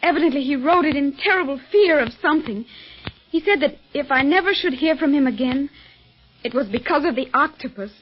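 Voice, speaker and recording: A woman's voice: high voice, animated, a little fast, soft background music. A woman playing an anxious, earnest young lady in a 1930s radio melodrama, fretful and carefully spoken. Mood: distressed. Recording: muffled old 1930s radio recording.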